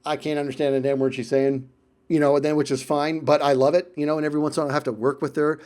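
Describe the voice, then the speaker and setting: An American man tells casual anecdotes as a video creator, in an animated way, chuckling and acting out exchanges with friends. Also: a little high, fast talker, clean tone. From United States. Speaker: video creator telling stories about friends.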